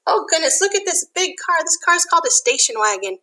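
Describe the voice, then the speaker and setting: A woman reading a children's picture book aloud in an animated, sing-song way, with chatty asides to young listeners like an elementary school teacher. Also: extremely high pitch, a little fast.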